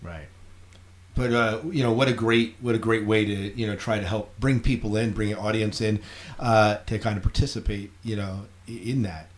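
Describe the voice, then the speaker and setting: Man, lowish voice, podcast host, casual chat, halting repeated phrases. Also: steady tone.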